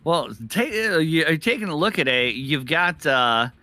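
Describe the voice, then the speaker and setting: A man's voice: fairly high pitch, animated, normal speed, sharp tone. A man giving conversational live game commentary as an esports co-caster.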